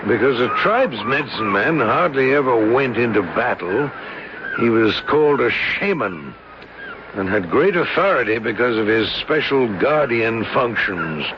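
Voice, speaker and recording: Casting: an older colonel, radio drama, slow portentous storyteller with wildly swooping pitch, on a hissy old recording. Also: male voice, muffled recording. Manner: theatrical, portentous. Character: eerie, earnest old colonel, a local storyteller given to visions.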